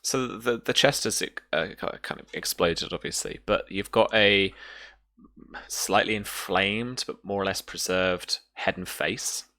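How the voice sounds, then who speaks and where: Guy game mastering a tabletop rpg, low bright voice, halting, descriptive. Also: dry recording. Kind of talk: improvised narration of a tabletop game.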